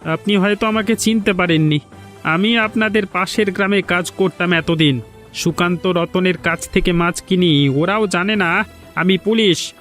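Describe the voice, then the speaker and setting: A man's voice: high voice, measured pace, fairly bright tone, faint music behind. A man voicing a confident, defiant undercover village policeman in a cartoon, with an assured, challenging manner. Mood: confident, stern. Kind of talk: cartoon voice acting.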